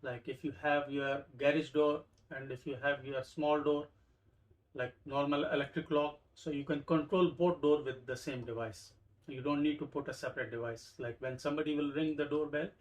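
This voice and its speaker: A man's voice: medium pitch, low-quality recording. A man hosting a tech review, explaining an unboxing step by step in simple, repetitive phrases. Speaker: tech review channel host.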